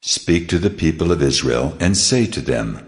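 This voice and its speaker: A man voicing the Lord as a vast, solemn divine figure, speaking slowly and gravely in a dramatized scripture recording. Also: lively intonation. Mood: commanding. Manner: slow, grave, commanding proclamation. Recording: long booming reverb effect.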